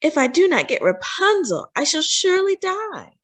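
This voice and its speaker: Storybook part: ailing young wife played by a woman, desperate and melodramatic, high plaintive voice that swoops. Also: very animated, normal speed.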